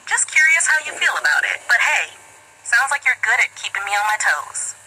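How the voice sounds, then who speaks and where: A woman's voice: on the high side, sing-song, fairly quick, bright, forceful tone, slightly rough, rough recording. A woman's synthetic assistant voice, upbeat and curious, chatting and asking lots of questions. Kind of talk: friendly chatbot conversation.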